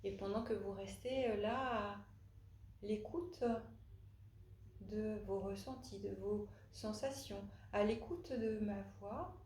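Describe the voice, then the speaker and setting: A woman leading a guided relaxation as a yoga nidra instructor, speaking haltingly with long pauses and frequent hesitations. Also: medium pitch, a little mellow. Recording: roomy echo, faint background noise.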